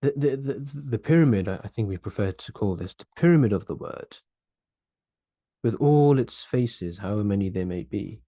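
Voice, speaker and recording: A young man gives an online grammar lesson, speaking earnestly and often doubling words as he works through an idea. His voice is mellow and soft-edged. The sound is close and dry, as from a webcam mic in a small room. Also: fairly low pitch, lively intonation, normal speed, dry recording. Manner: earnest, explanatory, repeats words while thinking. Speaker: Arabic grammar teacher.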